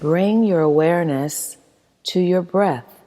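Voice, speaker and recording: A woman leading a guided meditation as instructor, reading in a soothing, measured manner with long pauses. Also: fairly low pitch, warm tone. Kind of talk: guided meditation, scripted narration. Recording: long hall-like reverb.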